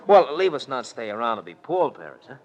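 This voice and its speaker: An actor playing a wisecracking, slangy New sidekick in a 1940s radio detective drama. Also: male voice, mid-range voice, sing-song, brisk, highs cut off, natural voice. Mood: grumbling, wisecracking. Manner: slangy, mock-formal wisecracks. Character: wisecracking, streetwise American sidekick in a detective serial. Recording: old radio recording.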